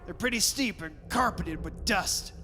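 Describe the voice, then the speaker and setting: A man's voice: high-pitched, animated, a little slow, pushed and bright, faint music behind, heavily acted. A man acting the part of a brash, bossy, middle-aged father in a radio play, blunt and scoffing about superstition. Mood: brisk, bossy. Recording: echoey hall, long reverb, background hiss.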